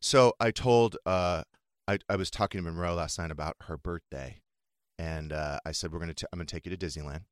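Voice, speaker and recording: A man's voice: low-pitched, brisk, clear, resonant tone, no room sound. A man hosting a talk show, chatting casually in a dry, matter-of-fact way. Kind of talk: casual chat.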